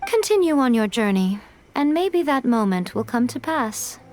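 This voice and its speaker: An elegant, high-voiced fox-spirit shrine maiden. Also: female voice, very animated, moderate pace, over music. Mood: thoughtful, solemn. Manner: composed, articulate, formal, teasing. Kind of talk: scripted video game dialogue.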